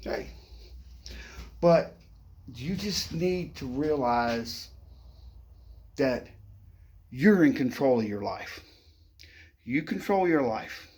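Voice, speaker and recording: A man's voice: mid-range voice, lively intonation, slow pace, long pauses, a little mellow. A middle-aged man who stutters, talking to the camera in a playful, upbeat way and often adding "okay?". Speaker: vlogger. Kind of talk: casual motivational talk to camera.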